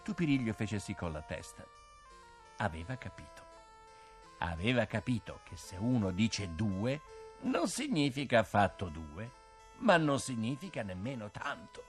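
An older man narrating a fairy tale in an expressive, scripted storytelling style. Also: on the low side, a little slow, faint music behind.

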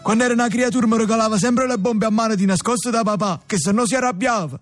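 An actor playing a naive, whiny young son of a crime family in a comic radio drama. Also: male voice, high-pitched, fast talker, soft background music, natural voice.

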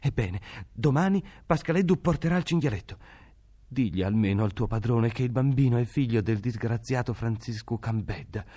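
A man's voice: medium pitch, normal speed, warm tone, breathy edge, voice pushed up, natural voice. A man voicing a poor, desperate peasant mother with asthma, pleading anxiously.

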